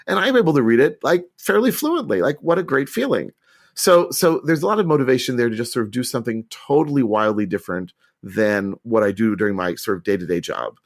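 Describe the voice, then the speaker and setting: A middle-aged man answering interview questions with chatty enthusiasm and quick restarts. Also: medium pitch, expressive. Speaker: podcast guest.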